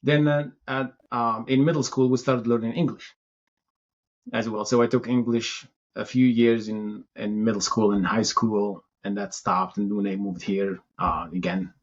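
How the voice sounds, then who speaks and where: A Moroccan-born man whose first language is Tamazight, answering interview questions in a thoughtful, halting way, often restarting his phrases. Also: lowish voice, little pitch movement, muffled recording. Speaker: city information security chief, guest.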